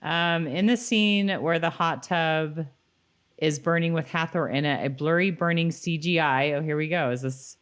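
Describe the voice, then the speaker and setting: Deep-voiced woman in casual conversation. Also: moderate pace, clean tone. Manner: informal, agreeable. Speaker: podcast co-host. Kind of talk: casual chat about a TV episode, reading trivia aloud.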